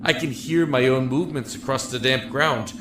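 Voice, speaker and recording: A man playing a despairing, regretful prisoner in a dark cell, speaking haltingly and reflectively in a dramatic audio monologue. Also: medium pitch, noisy background, lightly acted. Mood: numb, weary. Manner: halting, reflective, emotive delivery. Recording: echoey, with music underneath.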